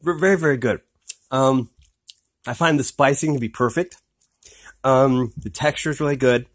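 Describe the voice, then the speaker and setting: Guy doing a snack review into his phone, lots of ums, says really really good a ton, mid pitch. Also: normal speed. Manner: hesitant, many ums, repeats "really, really good". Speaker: product reviewer. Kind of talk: casual food review vlog.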